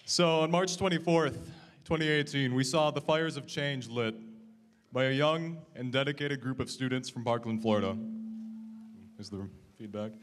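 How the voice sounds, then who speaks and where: A man's voice: mid-range voice, slight background noise. A man student organizer giving a formal, earnest introduction of a panel at a town hall. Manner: earnest, measured delivery. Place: community hall with audience.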